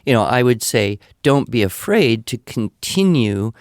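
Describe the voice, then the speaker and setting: A man's voice: medium pitch, big pitch swings, measured pace, no room sound, studio quality. An older man answering questions in a conversational, didactic way, thinking aloud and explaining with examples. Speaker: podcast guest expert answering listener questions.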